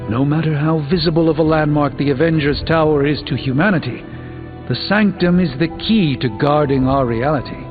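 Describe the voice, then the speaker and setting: A man's voice: a little high, fairly quick, natural voice. A man voicing a solemn, erudite sorcerer superhero in a video game, with formal, theatrical diction. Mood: grave, worried. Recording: lo-fi game audio under loud music.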